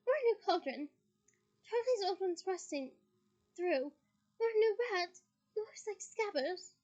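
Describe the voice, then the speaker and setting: A doting, fussing middle-aged mother, put on by a woman reading aloud who gushes in a breathless rush. The voice rides very high and swoops about with excitement. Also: a little slow. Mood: fond, fussing. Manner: breathless, gushing, fretful.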